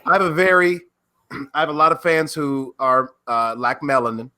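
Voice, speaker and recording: A man co-hosting a podcast, playful and jumping in with quick questions that stumble over themselves. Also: fairly high pitch, level tone, sharp tone. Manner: fast, stumbling, playful interjections. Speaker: podcast co-host. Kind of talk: casual podcast interview.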